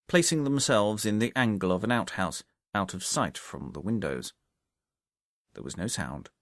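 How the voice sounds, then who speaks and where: Male narrator for mystery audiobook: lowish pitch, very varied intonation, expressive scripted read. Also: dry recording, studio quality.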